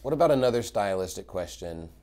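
A man in his thirties, a pastor interviewing a guest in a small office, asking measured questions. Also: sits low, animated, warm tone.

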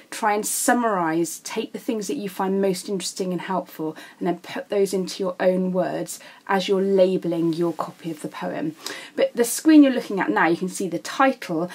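A woman with a British accent explaining things as an English teacher in a recorded lesson, in a measured, instructive way. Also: mid-range voice, a little mellow, husky.

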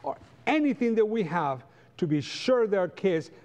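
A middle-aged man with a Costa Rican accent, a native Spanish speaker, teaches a church class. His voice sits a little high and is quite soft-edged and mellow. He talks conversationally, putting questions to his listeners as he goes. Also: sing-song, normal speed, highs cut off. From Costa Rica. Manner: conversational, anecdotal, rhetorical questions. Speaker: Sabbath school teacher. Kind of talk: church lesson to a congregation. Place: large church sanctuary, standing before pews.

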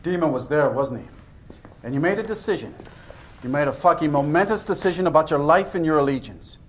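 An American man playing a sharp, sardonic investigator in a game cutscene, needling and accusatory. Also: a little high, a little fast, slight background noise, close to an everyday voice. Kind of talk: dramatic game cutscene acting.

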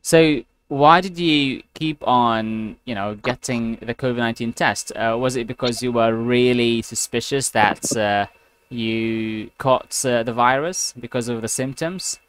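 A man's voice: medium pitch, measured pace, a bit bright, slight background noise. A young man teaching an online one-on-one English class over a headset mic, patiently rephrasing and spelling out words. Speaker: English tutor. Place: home room, video call. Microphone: gaming headset with boom mic.

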